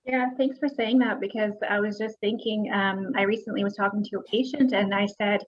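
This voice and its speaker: A woman's voice: medium pitch, steady tone. Woman from Bosnia on dull lo-fi audio. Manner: warm, reflective, many pauses. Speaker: chiropractor. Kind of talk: casual panel talk. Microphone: video call.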